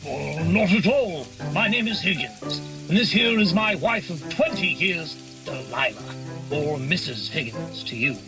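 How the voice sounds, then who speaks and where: A man's voice: fairly high pitch, animated, a little slow, over music, rough recording. A man playing a protective, genial working husband of Victorian London in a radio drama.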